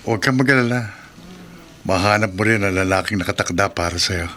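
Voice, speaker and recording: A man's voice: lowish voice, fairly quick, pushed and bright, a little hiss or hum. A man playing a meek, nervous, lovelorn husband in a horror radio drama, hesitant and prone to stammering. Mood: friendly, earnest. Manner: hesitant, stammering when flustered.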